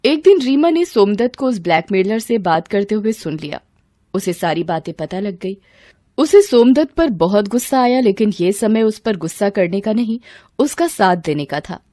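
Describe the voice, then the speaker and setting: A woman's voice: medium pitch, sing-song, normal speed, mellow, no room sound, clean studio sound. A woman reading a scripted story as narrator, acting out its dialogue.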